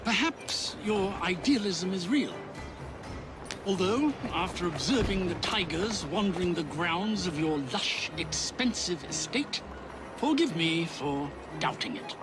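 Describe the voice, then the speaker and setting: An actor playing a haughty, blunt British politician in a video game, with a condescending, oratorical delivery. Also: male voice, sits high, expressive, measured pace, over music. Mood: lofty, frank. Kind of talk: video game dramatic dialogue.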